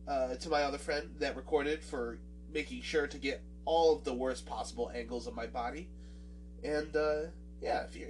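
A man's voice: a little high, distant mic, poor audio. A man hosting a podcast with a nasal voice and a swollen lip, talking casually and self-deprecatingly with many fillers and restarts. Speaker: podcast host. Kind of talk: casual solo podcast talk.

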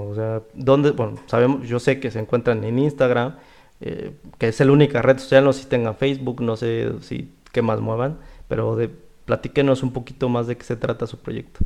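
A man's voice: mid-range voice, fairly even intonation, a little fast, creaky, darkish tone. A man with a Mexican accent hosting a casual interview, talking in a rambling way and often adding little tag questions. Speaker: podcast host.

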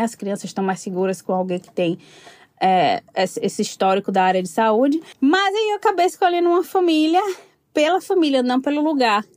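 A woman from Brazil in her thirties, telling her story in a casual interview, animated and laughing often. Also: fairly high pitch, no room sound.

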